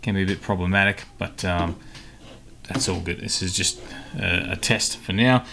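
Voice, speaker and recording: Guy with lowish voice doing a laid-back screen tutorial, thinking out loud, slight noise, keyboard clicks. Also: normal speed, gravelly. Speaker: tutorial host. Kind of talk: casual software screen tutorial. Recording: clicks and typing, faint background noise. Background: keyboard typing and mouse clicks.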